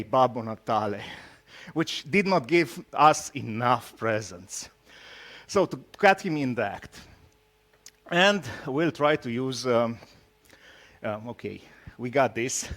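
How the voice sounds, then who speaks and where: A man from Romania with a Romanian accent gives an informal tech talk, speaking haltingly and teasing his audience with jokes. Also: mid-range voice, a bit bright. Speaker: conference speaker, solution architect.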